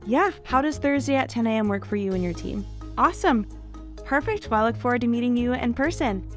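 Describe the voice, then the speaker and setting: Woman acting as young american lady on a job interview call, polite and keen, slightly high voice, soft music behind. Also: animated, fairly quick. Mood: polite, enthusiastic. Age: young adult. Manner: courteous, enthusiastic. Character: attentive, polite young female job candidate on phone. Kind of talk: acted phone interview skit. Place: indoor office meeting room. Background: background music.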